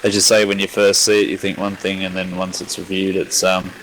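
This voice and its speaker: A man's voice: low-pitched, monotone, a little fast, fairly bright tone, slight background noise, rough recording. An Australian man co-hosting an online racing broadcast, chatting casually with lots of fillers on a headset mic. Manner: chatty, rambling, filler-heavy. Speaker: co-host.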